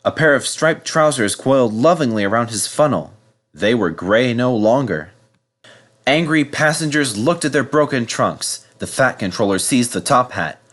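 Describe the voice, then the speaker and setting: A man reading a children's story aloud in an animated, scripted narration style. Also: mid-range voice, moderate pace. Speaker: storybook reader.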